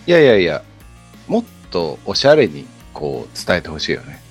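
A man's voice: sits low, huge pitch swings, moderate pace, slightly rough. A man acting as the stern, pompous director of an acting workshop, giving critiques. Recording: music behind the voice.

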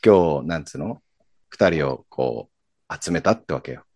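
A man acting a blunt, self-conscious ringleader of a friend group in a scripted radio drama, husky and casual. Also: very deep voice, lively intonation, normal speed, a little mellow, no echo, clean studio sound. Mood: eager, surprised. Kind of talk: scripted radio drama dialogue in colloquial Japanese.

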